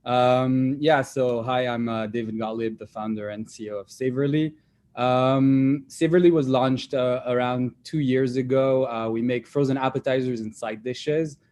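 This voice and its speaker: A young man speaks at a middle pitch in an unhurried, halting way. He fills gaps with ums and restarts as he answers an interviewer. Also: fairly even intonation, darkish tone. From Belgium. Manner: hesitant, many fillers and restarts. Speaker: startup founder. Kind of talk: livestream interview.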